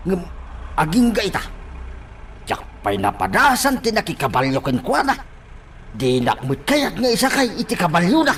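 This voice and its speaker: A man's voice: high voice, wildly swooping pitch, moderate pace, pauses often, fairly bright tone, exaggerated voice. A man with an Ilocano accent acting an eager, insistent farmer in a radio drama. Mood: musing. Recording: faint noise, outdoor ambience.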